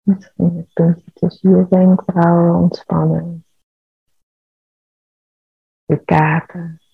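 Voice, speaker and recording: A woman leading a guided meditation in a calm, measured, soothing manner. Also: lowish voice, vocal fry, husky, no echo, narrow, tinny audio.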